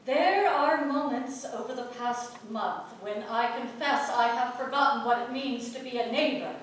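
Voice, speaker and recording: A woman's voice: high-pitched, fairly bright tone. An actress playing a proud, wry married townswoman of early modern England in a staged play reading. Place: playhouse stage. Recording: echoey theatre from far off, faint noise.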